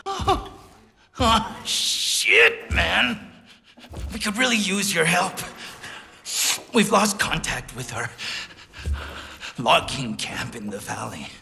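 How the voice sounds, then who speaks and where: A manic, blustering military boss in a video game, cursing freely and jittery. His voice is sharp and forceful with a gravelly fry, and it rings in a long reverb over faint noise. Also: on the high side, sing-song, takes it slowly, long pauses, heavily acted. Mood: agitated, pleading. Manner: profane, blustering, jittery, cackling. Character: frantic, foul-mouthed drugged-up trench commander, man. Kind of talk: video game cutscene acting.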